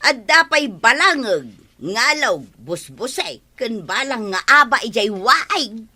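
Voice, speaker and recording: Casting: scoffing Ilocano village wife, lively swooping delivery, somewhat high voice, comedy radio serial. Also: female voice, takes it slowly, exaggerated voice. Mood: matter-of-fact.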